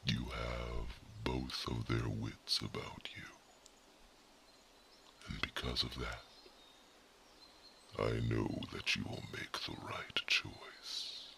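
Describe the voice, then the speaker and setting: A man voicing an ancient, wise male guardian who is not human, in an ASMR roleplay. He whispers his grave, measured counsel softly. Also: a bass voice, little pitch movement, gravelly, a little hiss or hum, heavily acted. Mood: solemn counsel.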